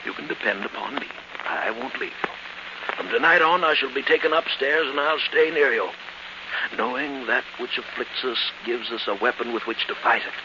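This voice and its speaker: An actor playing a paternal, imperious old uncle, speaking formally and theatrically in 1940s radio thriller style. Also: male voice, mid-range voice, moderate pace, close to an everyday voice. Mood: soothing, grave. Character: soothing, imperious old uncle with a family secret. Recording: dull, noisy old 1940s radio recording.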